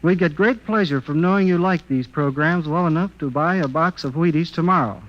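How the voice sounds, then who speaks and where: A man's voice: on the high side, brisk. A man with an American accent giving a folksy scripted testimonial on old radio. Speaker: musician endorsing a product. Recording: dull vintage radio recording.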